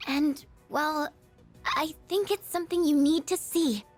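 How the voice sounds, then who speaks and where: A woman playing a gentle, tentative teenage schoolgirl in an anime-style game dub. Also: high-pitched, unhurried, soft background music. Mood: tentative, kind. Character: gentle, hesitant, tech-savvy teenage schoolgirl.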